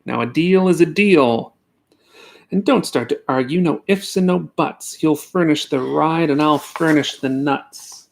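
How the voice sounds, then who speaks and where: A man's voice: a little high, unhurried, creaky, darkish tone, a little hiss or hum. A man voicing a small, bossy and gleeful bug in a bedtime storybook reading. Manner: snapping, commanding, mocking. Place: child's bedroom at bedtime.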